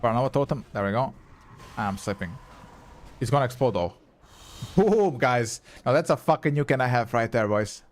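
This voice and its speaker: A young man streaming a game, with Croatian as his first language. He chats loosely with his viewers, answers their questions as he plays, and swears casually. His voice sits at an ordinary middle pitch for a man. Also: expressive, normal speed, pauses often, slight background noise. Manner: chatty, reads and answers chat, swears casually. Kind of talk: casual live-stream chat while gaming.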